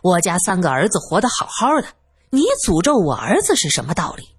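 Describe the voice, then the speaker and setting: A woman's voice: on the low side, sing-song, fairly quick, slightly rough, heavily acted. A woman voicing an indignant, easily shaken man who remonstrates in a flustered way in an audiobook. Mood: offended. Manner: stern remonstrating, flustered repetitions. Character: indignant grown man defending his honor, easily shaken.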